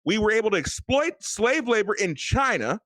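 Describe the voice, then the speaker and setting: A man's voice: high voice, big pitch swings, moderate pace, a bit bright, no echo. A man ranting in a casual late-night chat, often repeating words as he builds his point.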